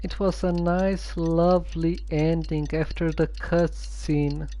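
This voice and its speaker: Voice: mid-range voice, level tone, mellow, slight background noise. A streamer giving casual, musing commentary while playing a story game. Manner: slow, musing.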